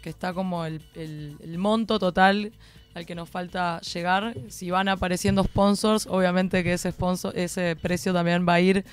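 A young Uruguayan woman chats easily as a radio guest, stopping to restart her phrases and filling gaps as she goes. Her voice is smooth and clear. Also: fairly low pitch, moderate pace, faint music behind. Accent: Uruguayan Spanish. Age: young adult. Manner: relaxed, chatty, with fillers and restarts. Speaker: rally driver guest. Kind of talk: radio interview.

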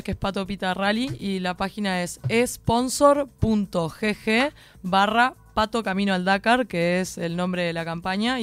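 Chatty Uruguayan woman, smooth voice. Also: medium pitch. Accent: Uruguayan Spanish. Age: young adult. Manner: relaxed, chatty, with fillers and restarts. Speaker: rally driver guest. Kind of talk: radio interview.

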